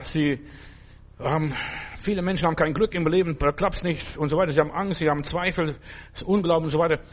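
Older non-native preacher, chatty and halting. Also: male voice, on the high side. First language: not German. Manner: chatty, repeats "verstehst du?", halting restarts. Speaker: street evangelist preacher. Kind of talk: informal sermon.

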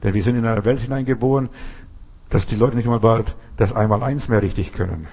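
Husky-voiced man preaching a sermon. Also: lowish voice, fairly quick, darkish tone. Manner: emphatic, rhetorical questions, frequent restarts.

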